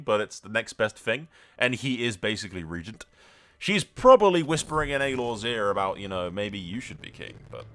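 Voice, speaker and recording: Male gaming host, lowish voice, informal think-aloud narration of a roleplay playthrough, music underneath. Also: fairly bright tone. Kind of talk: casual narrated roleplay game commentary. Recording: music behind the voice.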